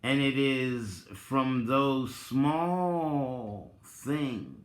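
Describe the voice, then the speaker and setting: A man giving a dramatic reading, theatrical and deliberate, with drawn-out phrases and long pauses. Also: mid-range voice, expressive. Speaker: reader and commentator, presenter of the recording. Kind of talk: dramatic reading with informal asides. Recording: roomy, echoing sound.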